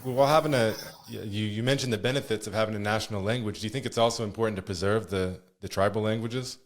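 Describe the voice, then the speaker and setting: Man, podcast host, fairly low voice, fast pace, casual interview chat. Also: fairly even intonation.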